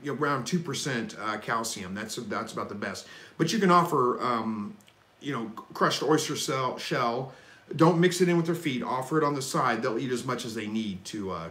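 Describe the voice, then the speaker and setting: A man hosting a live stream, reading viewer questions and answering in a relaxed, folksy, rambling way. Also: medium pitch, reverberant. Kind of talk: casual live chat Q&A.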